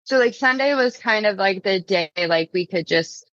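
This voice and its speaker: A woman's voice: mid-range voice, expressive, normal speed, a bit bright, dry recording, highs cut off. A woman guest on a podcast, talking in an informal, conversational way. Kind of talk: casual chat.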